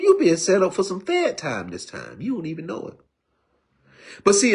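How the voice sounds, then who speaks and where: A man in his fifties giving a streetwise personal testimony, preachy in tone and full of restarts and repeated words. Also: a little high. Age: 50s. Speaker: recovery speaker.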